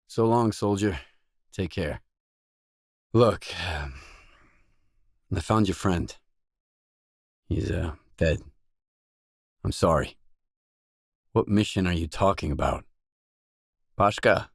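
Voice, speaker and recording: An actor playing a weary, laconic stalker in a video game, a hardened loner with a terse, guarded, deadpan delivery. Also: male voice, deep voice, no room sound, clean studio sound, heavily acted. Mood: curt, dry, then somber. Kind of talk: isolated game dialogue lines.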